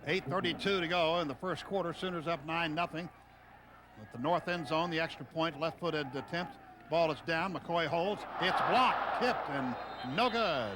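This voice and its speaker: A man doing live radio play-by-play of a football game, calling plays in short, excitable phrases. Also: fairly high pitch, moderate pace. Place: stadium broadcast booth. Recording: noisy stadium radio broadcast tape. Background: loud stadium ambience.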